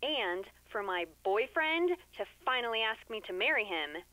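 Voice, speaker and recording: Woman playing a bright, swooping, lovelorn caller. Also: a little high, animated, moderate pace, dry recording, poor audio. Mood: indignant. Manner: dramatic, indignant, oversharing. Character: exasperated, lovelorn girlfriend calling a radio show. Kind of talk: comic puppet show radio skit.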